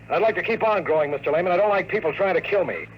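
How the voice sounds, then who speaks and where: A man's voice: sits high, animated, rapid-fire, slight background noise, low-quality recording. An American man acting a sardonic, hard-boiled private detective in a 1950s radio drama, delivering flippant lines and noir narration. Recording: vintage radio recording with music.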